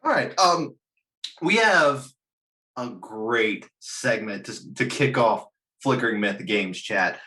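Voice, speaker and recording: A man hosts a relaxed group chat. His voice sits at a middle pitch and moves around in an animated way. He jokes along and is quick to laugh. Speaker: host of a gaming chat show.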